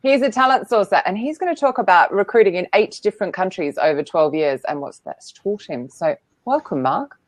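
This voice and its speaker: A woman's voice: mid-range voice, lively intonation, brisk, few pauses, no room sound. An Australian woman hosting a show over a video call, chatty and self-deprecating. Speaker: host. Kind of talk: casual video chat.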